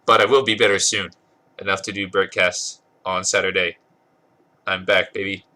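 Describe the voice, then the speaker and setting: A man's voice: sits low, little pitch movement, moderate pace, pushed and bright. A man with a congested voice doing an amused dramatic reading of a comic story, stumbling over words now and then and correcting himself. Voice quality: husky, congested. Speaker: podcast host reading fan fiction aloud.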